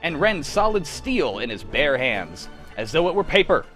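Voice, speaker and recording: A man's voice: on the high side, big pitch swings, normal speed, over music. A man playing a theatrical, emphatic announcer in the style of a 1940s radio serial. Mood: grand, excited. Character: dramatic old-time radio announcer, grand and excited. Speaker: announcer in an amateur live script reading.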